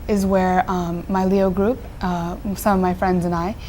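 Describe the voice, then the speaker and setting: A woman's voice: on the low side, little pitch movement, measured pace, dark tone. Teenage girl, nonprofit founder, earnest, filler-heavy answers, faint background hiss. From Nepal. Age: teens. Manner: earnest, many fillers and restarts. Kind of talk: interview answers.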